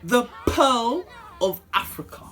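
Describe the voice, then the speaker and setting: A woman's voice: on the high side, sing-song, slow, a bit bright. Playful young woman hosting a chat. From Uganda. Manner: playful, teasing. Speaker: channel host. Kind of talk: casual chat with a friend.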